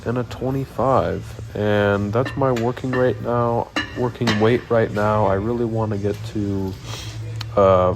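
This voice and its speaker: A man's voice: fairly low pitch, a little mellow, slight background noise. A young man with a cold and a nasal voice, casually talking through his workout in a rambling voice-over full of ums. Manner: laid-back, rambling, many ums. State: stuffy nose from a cold. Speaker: fitness vlogger. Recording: voice-over over clear background music. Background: music playing underneath.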